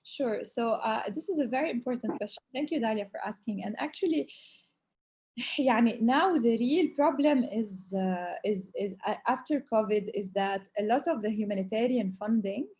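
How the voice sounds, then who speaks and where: A woman's voice: fairly high pitch, normal speed, a little mellow. A woman whose first language is Arabic, answering questions at length as a humanitarian speaker in a webinar, earnest and hesitant in phrasing with Arabic filler words.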